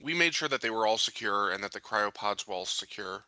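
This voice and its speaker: A man with a low voice and a very bright, forceful edge chats casually through a tabletop roleplaying game. The recording is dry and close. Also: expressive, a little fast, no room sound, low-quality recording.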